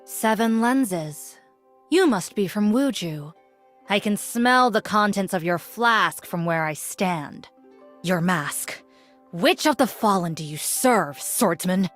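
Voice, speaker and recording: Game role: stern ancient warrior woman, solemn, formal, measured, mid-pitched, faint music behind. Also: expressive, a bit bright. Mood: stern, scornful. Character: stern, ancient female spirit-realm warrior, solemn and judging. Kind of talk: scripted game character voice lines.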